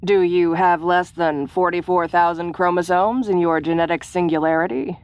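A woman's voice: fairly low pitch, clean tone, dull audio, natural voice. Woman playing a blunt, clinical alien woman. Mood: clinical, seductive. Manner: businesslike, deliberate, deadpan. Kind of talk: audio roleplay acting.

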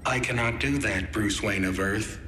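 A man's voice: fairly low pitch, normal speed, lightly acted. A man voicing a cold alien machine intelligence in a video game, formal and unemotional. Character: cold male alien machine posing as computer. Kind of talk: video game cutscene acting. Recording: echoing, very noisy.

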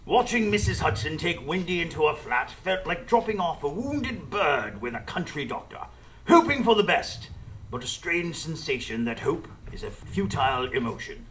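An actor playing an earnest, formal gentleman sidekick, narrating theatrically in a radio play. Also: on the high side, very animated, normal speed, a little hiss or hum, poor audio.

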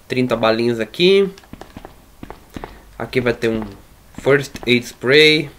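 A man's voice: mid-range voice, animated, takes it slowly, fairly bright tone, slight background noise. Brazilian man doing casual gameplay commentary. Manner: informal, slangy. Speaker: gaming YouTuber. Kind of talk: casual let's play commentary.

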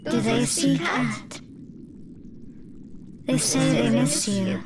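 Woman as slow eerie mournful messenger. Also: sits high, animated, exaggerated voice. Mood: mournful questioning. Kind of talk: fan dub game voice acting. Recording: very loud noise over voice.

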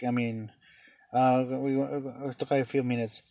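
A man explaining a phone reset step by step off camera, halting with many fillers, in non-native English phrasing. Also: mid-range voice, flat delivery, no room sound, muffled recording. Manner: hesitant, many fillers and restarts. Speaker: tech tutorial channel host. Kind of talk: off-camera phone tutorial voice-over.

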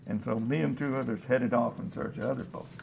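Man acting a folksy gravelly disaster survivor. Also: mid-range voice, fairly even intonation, fairly quick, darkish tone, a little hiss or hum. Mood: reflective, matter-of-fact. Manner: folksy, plain-spoken. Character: folksy, hopeful scavenger, survivor of global disaster. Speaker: actor in a radio drama. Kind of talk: radio drama, interview monologue.

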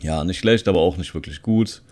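A man talks in a low voice. He presents like an online video host walking through software on screen. His delivery is casual and conversational, explaining as he goes. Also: brisk. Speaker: video host, content creator. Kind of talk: casual screen-recording tutorial commentary.

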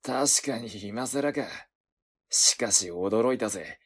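A man voicing a gruff, blunt young accomplice in a voice drama, speaking tersely. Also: medium pitch, takes it slowly. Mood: sardonic. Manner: terse, rough casual speech. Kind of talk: Japanese voice drama acting.

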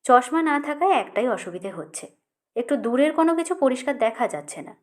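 Woman reading a short story audiobook, kinda high voice. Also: lively intonation, brisk. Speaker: audiobook narrator. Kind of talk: scripted literary story narration.

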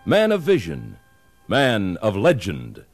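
A man's voice: fairly low pitch, wildly swooping pitch, slow pace, heavily acted. An American male announcer delivering scripted narration for an old-time radio western in a grand, declamatory style. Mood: grand, solemn. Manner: dramatic, declamatory. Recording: old radio recording with music.

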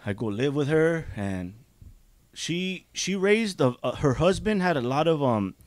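A man's voice: a little high, very animated, measured pace, no room sound. Bearded man telling his story, dry mic. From raised in Juarez, Mexico and Azusa. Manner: rambling, repeats "you know". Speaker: podcast guest. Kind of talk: casual storytelling. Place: small podcast studio. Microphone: studio desk mic.

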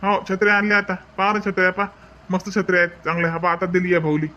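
High-pitched village street seller, acted by a man, Varhadi accent. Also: fairly even intonation, rapid-fire, pushed and bright, dull audio. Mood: persuasive, friendly. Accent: Varhadi Marathi from Vidarbha. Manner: folksy, talkative sales patter. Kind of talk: comic cartoon dialogue.

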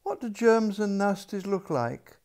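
A small, indignant princess from a storybook, as an older man reads her. The voice is lifted in pitch and full of stubborn questions and protest. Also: expressive, a little slow, a little mellow. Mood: questioning.

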